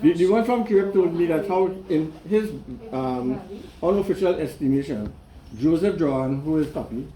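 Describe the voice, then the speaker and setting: Man tripping over his words, slightly high voice, echoey crowded room. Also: lively intonation, normal speed, a crowd in the background. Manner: stumbling, repeats words. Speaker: relative at a family gathering. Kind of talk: casual family chat.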